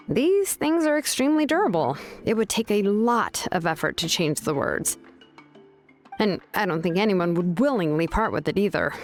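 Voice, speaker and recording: A woman voicing a calm, sensible adult woman in a fantasy video game, talking in a measured, gently wry way. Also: mid-range voice.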